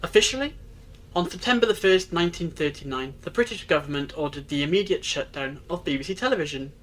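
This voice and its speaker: A performer playing a wise, gently wry time traveller in an audio drama, speaking in a measured, reflective way. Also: mid-range voice, animated, low-quality recording. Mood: explaining, assured. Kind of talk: fan-made audio drama acting.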